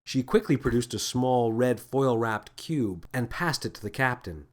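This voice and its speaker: A man narrating a scripted children's story in an expressive, deliberate storytelling manner. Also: medium pitch. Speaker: author and narrator.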